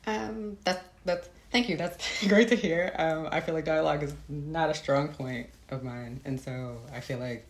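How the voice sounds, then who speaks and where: A woman's voice: deep voice, steady tone, measured pace, few pauses, roomy sound. A woman author giving reflective, conversational interview answers in a thoughtful, hesitant manner. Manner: thoughtful, hesitant, often laughing lightly. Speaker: guest author.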